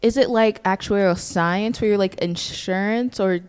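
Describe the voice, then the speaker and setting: A woman's voice: mid-range voice, normal speed, darkish tone, smooth. A young woman co-hosting a student podcast, chatty and full of fillers. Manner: chatty, filler-heavy, giggly. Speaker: co-host. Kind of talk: casual podcast chat.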